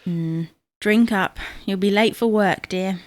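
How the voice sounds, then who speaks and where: A woman playing a brisk, sarcastic, bossy but caring wife in an audio comedy drama, with a dry, chiding manner. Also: mid-range voice, darkish tone, dry recording, natural voice.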